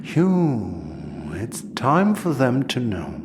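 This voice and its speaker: Serene cult mystic, unhurried and breathy, grandly reverent, sci-fi comedy, heavy background noise. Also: mid-range voice, big pitch swings. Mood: calm, courteous. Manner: slow, breathy, reverent sermonizing. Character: serene mystical alien prophet, grandiose cult conduit of Mother. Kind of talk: sci-fi audio comedy acting. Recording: loud ambient noise behind the voice.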